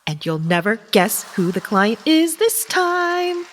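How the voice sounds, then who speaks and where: A woman's voice: a little high, sing-song, slow pace, a little hiss or hum. An actress playing a cocky young female agent on a time-travel heist, breezy and teasing. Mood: businesslike.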